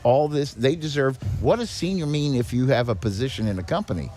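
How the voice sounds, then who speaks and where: A man speaks as a guest on a podcast. His voice sits at a middle pitch. He explains his points conversationally, often doubling back and repeating words as he goes. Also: lively intonation, brisk, a little mellow, faint music behind. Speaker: guest, director of a seniors' charity.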